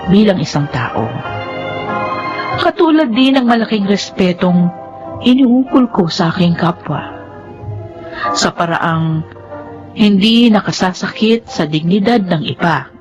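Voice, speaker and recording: A woman's voice: mid-range voice, expressive, measured pace, pauses often, lightly acted. An actress playing a proud, heartbroken young woman in a Filipino radio drama, solemn and emotional in delivery. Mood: heartfelt resolve. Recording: dull radio recording with loud music.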